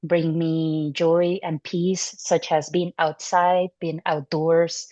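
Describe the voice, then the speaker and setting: A woman in her thirties from Venezuela, a neurology resident, answering interview questions in a relaxed, thoughtful way with fillers and restarts. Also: deep voice, takes it slowly, no echo. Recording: muffled dry audio.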